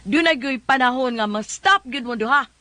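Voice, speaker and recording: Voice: high-pitched, normal speed, pushed and bright, dry recording. A talk show host with a Cebuano Filipino accent giving advice in a casual, conversational way.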